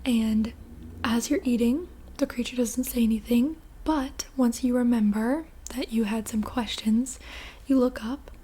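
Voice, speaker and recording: A young woman whispers an ASMR roleplay story, slow and gentle. Her voice is mellow and breathy, fairly high, with relaxed, casual fillers.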